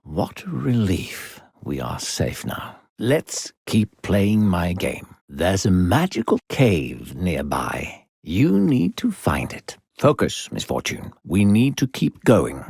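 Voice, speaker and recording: A man voicing an unseen, coaxing game narrator with a gravelly, husky voice and a patronizing, falsely kindly, theatrical manner. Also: deep voice, huge pitch swings, takes it slowly, hardly any pauses, darkish tone, no echo, clean studio sound, full character voice. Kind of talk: theatrical video game narration addressed to a child.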